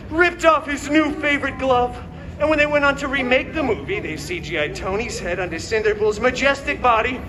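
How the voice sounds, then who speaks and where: Guy doing a super high cocky wisecracking antihero telling a fairy tale, sarcastic, live stage show with crowd noise outside. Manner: sarcastic, self-mocking asides. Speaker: costumed character performer hosting live story show. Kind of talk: theatrical comedic storytelling to a live audience. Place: outdoor theme park show, filmed from audience. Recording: noisy outdoor crowd recording of live show.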